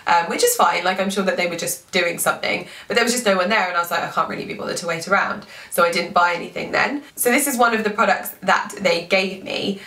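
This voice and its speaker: Young woman with a blocked-up cold voice, bright tone, chatty beauty vlogger, echoey room, mic a bit back. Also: on the low side, fast, hardly any pauses, distant mic. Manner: chatty, frequent "like" and "um". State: congested, lost voice, sore throat. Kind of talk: casual product review.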